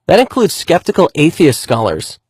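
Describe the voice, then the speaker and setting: A man's voice: fairly high pitch, very animated, normal speed, a little mellow, slightly rough. An actor playing an earnest male believer who explains his case methodically and articulately in a scripted skit. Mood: reasoned, emphatic.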